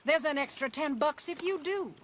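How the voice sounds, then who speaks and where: An actress playing an imperious older Southern plantation matriarch, curt and sardonic, in a western video game. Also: female voice, high voice, animated, moderate pace, a little hiss or hum. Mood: sly, commanding. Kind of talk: video game cutscene acting.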